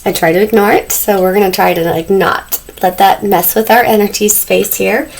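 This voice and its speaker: A woman doing a casual tarot reading to camera, a little husky as she is losing her voice, talking softly and calmly in a dim room. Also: medium pitch, normal speed. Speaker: tarot reader. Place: dim room indoors lit by candles. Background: faint noise from loud neighbors upstairs.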